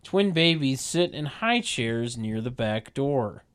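A man narrating a comedy table read in a deadpan way, with mocking sardonic asides. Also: mid-range voice, slow pace, clean tone, no echo, studio quality. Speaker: narrator reading stage directions.

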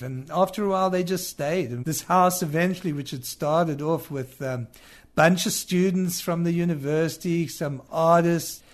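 A man from South Africa with a South African accent, a musician looking back in an interview. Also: a little high. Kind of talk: reflective interview recollection.

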